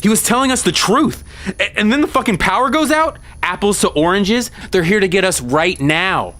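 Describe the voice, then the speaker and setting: A man's voice: high-pitched, lively intonation, normal speed, sharp tone. A man playing a brash, foul-mouthed young cryptid hunter with slangy swagger in an audio drama. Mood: panicked.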